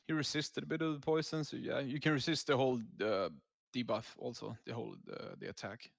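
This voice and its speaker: A man's voice: mid-range voice, fairly even intonation, fairly quick, gravelly, no room sound, clean studio sound. A man giving casual, laid-back gameplay commentary as a raid leader, explaining tactics as he goes.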